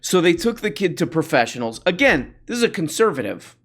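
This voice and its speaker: A man's voice: fairly high pitch, very animated, brisk, a bit bright. A man hosting a comedy show, mocking clips in a rambling, self-interrupting way while losing his voice. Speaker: host.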